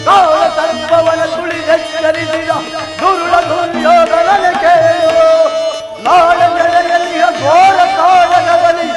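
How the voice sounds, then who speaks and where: Over prominent music in an echoey space, a vengeful, scheming male villain of mythological stage drama. The voice is extremely high and declaims theatrically, repeating phrases and sliding toward sung verse. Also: completely flat delivery, slow pace. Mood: vengeful taunting.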